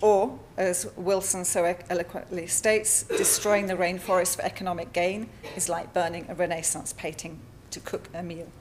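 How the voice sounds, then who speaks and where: A woman with a Spanish accent giving a conference talk over slides, halting often with fillers and self-corrections. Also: on the low side, little pitch movement, a little mellow, slight background noise. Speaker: artist presenting at a symposium. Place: lecture hall.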